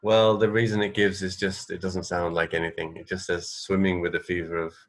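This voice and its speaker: A man from England co-hosting a radio show in casual chat, thinking aloud in a halting way. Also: deep voice, little pitch movement. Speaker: radio co-host. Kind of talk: casual chat about fun facts.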